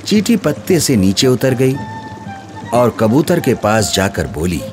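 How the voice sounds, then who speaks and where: A man narrating a scripted children's moral story in an expressive storytelling manner. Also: mid-range voice, a little slow. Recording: music under the voice.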